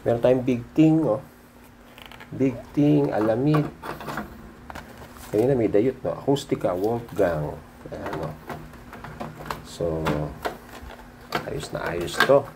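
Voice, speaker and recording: A Filipino man doing a casual, unscripted show-and-tell as a vlogger, halting and muttering to himself as he handles things. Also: medium pitch. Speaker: vlogger showing his cassette collection. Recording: noisy recording with handling clicks.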